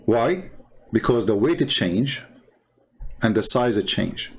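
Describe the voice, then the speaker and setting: Halting man with non-native English accent. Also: fairly low pitch, animated, slightly rough. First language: not English. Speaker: host and religious debater. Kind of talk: improvised commentary over visuals.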